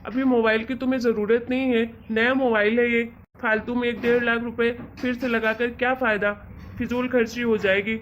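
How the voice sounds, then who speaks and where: A woman's voice: a little high, little pitch movement, a little fast, slight background noise, natural voice. A woman playing an exasperated, reasoning husband in an amateur cartoon story. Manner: reasoning, chiding, colloquial. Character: exasperated young husband, patient and teasingly lecturing. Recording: dull, lo-fi audio.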